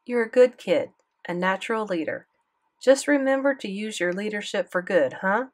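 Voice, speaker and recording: A woman voicing a kind male middle-school teacher writing gentle, earnest advice to a former student. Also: medium pitch, lively intonation, moderate pace, close to an everyday voice.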